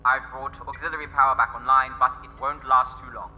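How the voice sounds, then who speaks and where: An actor playing an irritable, theatrical starship engineer in a sci-fi audio drama. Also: male voice, mid-range voice, level tone, fairly quick, sharp tone. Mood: brisk. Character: irritable engineer brother, male starship tech expert. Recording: music bed underneath.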